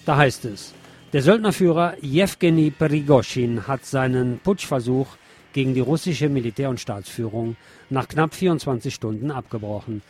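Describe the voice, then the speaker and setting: A man presenting a news programme, reading commentary aloud in a measured, formal way. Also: mid-range voice, darkish tone, soft background music.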